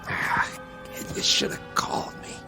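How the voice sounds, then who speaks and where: A man acting in a radio drama as a beaten-up, protective older brother, streetwise and wisecracking, talking in a wry, halting way. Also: in a whisper, over music, natural voice. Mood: wry, weary.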